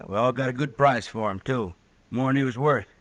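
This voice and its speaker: A man plays an easygoing, hungover mule skinner in a western radio drama. His is folksy and sheepish, at middling pitch in a close, dry recording. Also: a little fast, no room sound, natural voice. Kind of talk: 1950s radio western acting.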